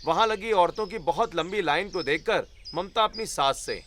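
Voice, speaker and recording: Male narrator, slightly high voice, scripted storytelling, light background noise. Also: animated, normal speed, fairly bright tone.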